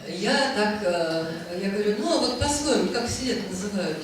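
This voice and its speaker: A woman's voice: mid-range voice, expressive, moderate pace. A woman telling a rambling, anecdotal story at a book presentation, hesitating often as she talks. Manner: chatty, rambling, frequent hesitations. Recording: echoey hall, voice from far off, faint noise.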